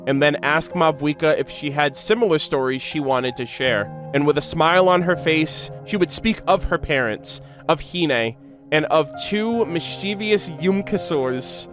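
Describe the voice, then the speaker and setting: A man's voice: on the high side, unhurried, fairly bright tone, faint music behind. A man streaming his reaction to game lore, reading story text aloud and commenting casually in an emotive, slangy way.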